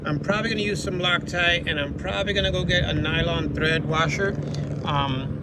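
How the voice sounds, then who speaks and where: A man's voice: on the high side, brisk, very noisy. A Cuban American man from New York with a Spanish-tinged accent, joking around casually while working outdoors on a van roof. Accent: Cuban American Spanish-tinged English. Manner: joking, playful. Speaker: van-life vlogger.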